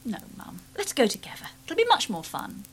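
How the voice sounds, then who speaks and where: An actress playing a young woman about to give birth and then a tired new mother, speaking softly and often whispering, in a radio drama. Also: a little high, wildly swooping pitch, a little fast, slightly rough, exaggerated voice. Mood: coaxing.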